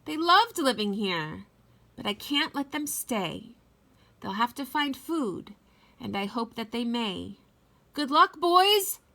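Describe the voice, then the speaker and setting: Woman acting small grumpy storybook creature, scolding, high-pitched, emphatic, sharp and forceful. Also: big pitch swings, heavily acted. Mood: sad, resigned. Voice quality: coughing, croaky delivery. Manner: scolding, emphatic, drawn-out words. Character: grumpy, indignant small creature, guardian of trees.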